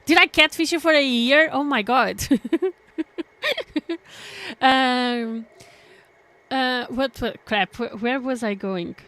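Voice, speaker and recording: A woman's voice: high voice, slow, long pauses, a bit bright. A woman from Portugal, chatting casually with her stream viewers in a rambling way, full of fillers and restarts.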